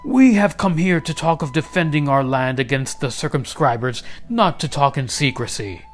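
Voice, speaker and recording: A man's voice: a little high, expressive, moderate pace, soft background music, lightly acted. Man voicing a stern, declamatory storybook king. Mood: grave. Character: King Arthur, mighty, stern but gracious storybook king.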